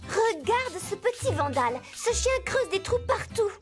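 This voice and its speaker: A woman's voice: extremely high pitch, sing-song, moderate pace, soft background music. A woman voicing a scheming, self-justifying young girl rider in a French cartoon dub.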